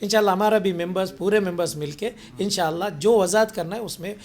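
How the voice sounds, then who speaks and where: A man speaks in a distinctly high-pitched voice. He explains things in interview answers, haltingly and with repetition, slipping in English words as he goes. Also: darkish tone.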